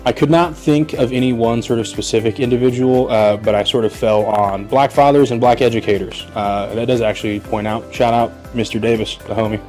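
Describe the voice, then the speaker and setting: A man from Indiana, a history teacher, giving articulate, measured interview answers and often hedging with "sort of". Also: medium pitch, fairly even intonation, darkish tone, faint music behind. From Muncie, Indiana.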